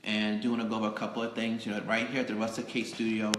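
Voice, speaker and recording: A man hosting a casual live stream, chatting in a rambling, halting way as he fills time. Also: fairly low pitch, completely flat delivery, noisy background. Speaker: magazine editor hosting a live interview show. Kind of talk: casual improvised livestream hosting. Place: indoors in a cake studio. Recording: roomy, echoing live stream indoors.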